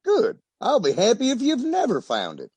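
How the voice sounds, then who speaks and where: An actor playing a nervous, guilty wealthy husband with a husky voice and a flustered, theatrical manner in an old-time radio detective drama. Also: male voice, very high-pitched, huge pitch swings, moderate pace, darkish tone, no room sound, exaggerated voice. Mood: conspiratorial.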